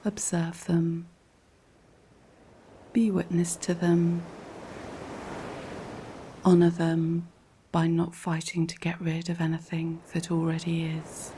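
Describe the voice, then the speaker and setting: A woman reading a scripted guided relaxation in a calm, measured, instructional way, with a soft, breathy voice. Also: on the low side, a little hiss or hum. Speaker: guided meditation narrator.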